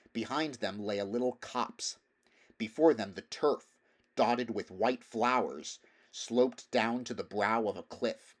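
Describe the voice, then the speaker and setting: A man with a fairly low voice narrates a storybook. He reads scripted prose as an audiobook reader would.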